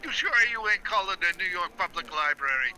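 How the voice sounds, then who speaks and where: A man's voice: high-pitched, animated, a little fast, sharp tone, exaggerated voice, poor audio. An actor playing a streetwise, wisecracking New York barman in a 1940s-style radio drama, brash and slangy. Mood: indignant.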